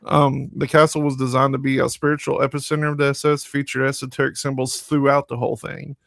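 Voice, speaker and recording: A man reading his notes aloud on a podcast. His voice has a gravelly fry running through it, and the recording is close and dry. He trips over a word now and then and keeps going without fuss. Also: medium pitch, little pitch movement, fast talker, fairly bright tone, no echo. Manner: stumbling over words, laughs at himself. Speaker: podcast co-host. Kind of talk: casual chat reading from notes.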